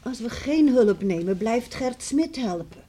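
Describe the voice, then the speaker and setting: A woman's voice: on the high side, expressive. An actress in a radio play playing a caring middle-aged farmer's wife and mother, with a soothing, practical manner. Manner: soothing, homely, measured. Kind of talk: Dutch radio play acting. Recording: noisy old radio play recording.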